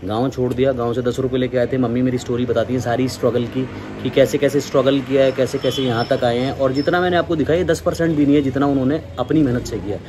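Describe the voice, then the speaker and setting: A man's voice: mid-range voice, level tone, fast talker. A young man talking casually to camera as a fitness vlogger, explaining things directly to viewers. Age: young adult. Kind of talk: casual vlog talk to camera. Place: unfinished building, rooftop and open balcony. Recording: clear background noise, outdoor ambience, traffic.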